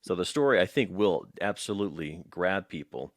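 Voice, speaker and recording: A man's voice: low voice, lively intonation, normal speed, dry recording, studio quality. A man hosting a casual interview, conversational and emphatic, repeating words for stress. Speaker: interviewer, host.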